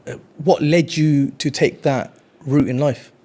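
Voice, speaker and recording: A man's voice: fairly high pitch, steady tone, a little slow, warm tone. A man hosting a podcast, introducing his guest formally and asking probing follow-up questions. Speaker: podcast host. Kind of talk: podcast interview.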